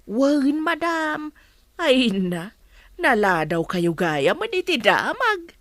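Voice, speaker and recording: A woman's voice: high-pitched, big pitch swings, slow pace, a bit bright, dry recording, clean studio sound, heavily acted. A woman with an Ilocano accent playing a deferential gossip addressing her madam in a radio drama. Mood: hesitant, informing.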